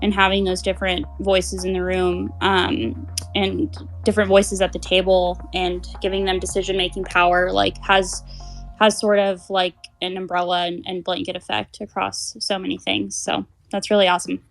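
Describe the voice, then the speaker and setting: A woman's voice: lowish voice, flat delivery, normal speed, vocal fry, faint music behind, poor audio. A woman hosting an online chat, responding with loosely phrased, appreciative comments. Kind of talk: casual chat in an online audio space.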